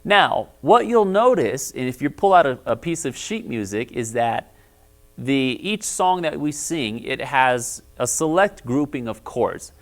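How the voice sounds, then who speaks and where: Friendly bass-baritone man teaching music. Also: expressive, a little slow. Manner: friendly, explanatory, often checks in with "all right?". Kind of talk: instructional video lesson.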